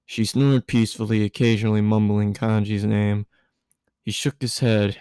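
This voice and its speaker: A man narrating fan fiction aloud in a halting way, stumbling over words as he reads. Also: lowish voice, little pitch movement, warm tone, clean tone, no echo, clean studio sound. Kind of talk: story narration read aloud.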